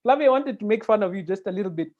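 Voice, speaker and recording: A man's voice: sits high, expressive, rapid-fire. Kenyan man hosting over dull call audio. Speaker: webinar moderator. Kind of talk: conversational webinar hosting. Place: indoor room, video call. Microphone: earphone mic on video call.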